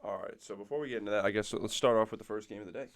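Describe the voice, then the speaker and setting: A young man, hoarse and nasal from a cold, co-hosting a casual podcast and rattling off game stats. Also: on the low side, racing, a little hiss or hum. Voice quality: raspy, nasal. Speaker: podcast co-host. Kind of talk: casual sports chat.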